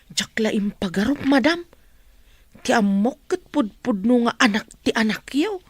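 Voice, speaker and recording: A polite, high-voiced housemaid. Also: female voice, animated, moderate pace, slightly rough, no room sound. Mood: reassuring. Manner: polite, deferential. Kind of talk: radio drama acting.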